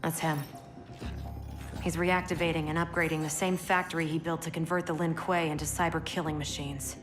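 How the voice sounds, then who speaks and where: A brisk female officer in a game cinematic, voiced by an actress. Her voice is low with little pitch movement, and she is matter-of-fact. Music is clearly heard behind her. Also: level tone, natural voice. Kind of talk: cinematic video game acting.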